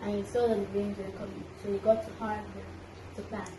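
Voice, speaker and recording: A woman's voice: medium pitch, little pitch movement, a little fast. A female story-time listener responding to the storyteller in a children's story chat. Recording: echoey, distant mic, noisy background.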